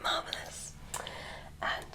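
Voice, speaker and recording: A woman voicing a curious alien examiner who tests a human, speaking in a soft, clinical ASMR whisper. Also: slow, lightly acted. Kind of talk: ASMR medical roleplay.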